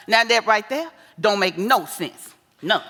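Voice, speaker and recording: Actress as sassy older American mother, bright high voice, folksy scolding advice, theatrical delivery, echoing hall. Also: takes it slowly, full character voice. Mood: scolding, exasperated. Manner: animated, folksy, preachy. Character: sassy, scolding, wise older mother advising her married daughter. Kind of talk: theatrical stage-play acting. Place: live stage in a theater. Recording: echoey theater hall with long reverb.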